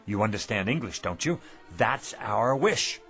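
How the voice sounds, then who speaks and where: A man playing an excitable, generous husband who runs a poor antique shop, in a radio drama. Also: fairly low pitch, measured pace, gravelly, faint music behind, exaggerated voice. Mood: suspicious, bossy.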